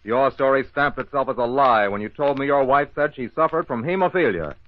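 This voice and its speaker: An actor playing a calm, courteous but firm police inspector in an old-time radio mystery, deliberate and authoritative. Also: male voice, medium pitch, lightly acted. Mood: stern, accusing. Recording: roomy, dull old radio recording.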